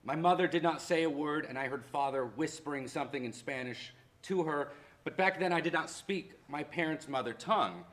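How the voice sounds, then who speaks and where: A man's voice: on the high side, brisk, fairly bright tone, close to an everyday voice. A man playing a reflective college history professor who reminisces about lost parents in a staged-reading monologue. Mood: wistful, earnest.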